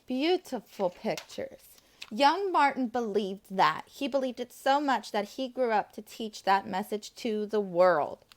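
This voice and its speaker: A woman's voice: on the high side, animated, unhurried. A woman preschool teacher reading aloud to young children, enthusiastic, with friendly asides to her little listeners.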